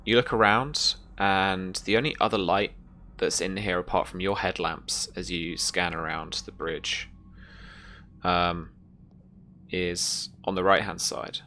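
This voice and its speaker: A man running a tabletop roleplaying game, narrating scenes and explaining rules in a halting, thinking-aloud way. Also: low voice, fairly even intonation, sharp tone. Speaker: game master. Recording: noticeable background noise.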